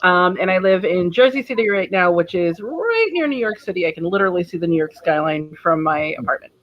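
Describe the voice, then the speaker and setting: A woman's voice: fairly low pitch, very animated, a little fast, highs cut off. A woman from Rhode Island speaking casually and wryly as a panelist. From Rhode Island, United States. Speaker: panelist, PR company president. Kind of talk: casual self-introduction.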